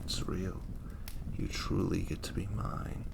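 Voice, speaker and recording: A man playing a suave, commanding demon prince in a fantasy audio roleplay, speaking in silky, formal, unhurried phrases with possessive, flirtatious indulgence. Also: completely flat delivery, full character voice. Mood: coaxing, possessive. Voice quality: low gravelly voice, often dropping to breathy murmur. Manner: silky, formal, unhurried, haughty courtly speech. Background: crackling fire ambience.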